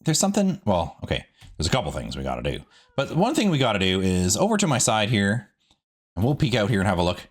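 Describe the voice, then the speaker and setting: Male gaming host: deeper-set voice, very animated pitch, casual, rambling, easygoing commentary. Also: fast talker.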